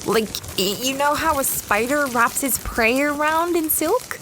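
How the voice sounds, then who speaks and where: A woman's voice: high voice, animated, measured pace, noisy background. A woman playing a young female space adventurer in an audio drama, conversational and a little hesitant, anxious and evasive. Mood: recounting. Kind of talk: audio drama acting and narration.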